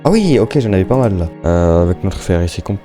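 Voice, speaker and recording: A man's voice: low-pitched, very animated, a little fast, over music. A young man giving casual, slangy Minecraft let's-play commentary, chatting and thinking aloud as he plays. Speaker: gaming YouTuber.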